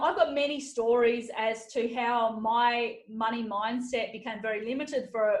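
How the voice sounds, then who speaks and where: A woman podcast host giving an upbeat motivational keynote talk, walking through numbered steps, her voice slightly hoarse. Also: a little high. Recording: roomy echo.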